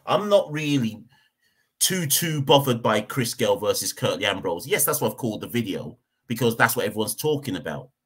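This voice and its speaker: A man's voice: fairly low pitch, big pitch swings, brisk, fairly bright tone, breathy edge. A man from Jamaica, hosting a cricket podcast and giving a heated opinion rant, hammering points home by repeating them. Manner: emphatic, repeats points for stress.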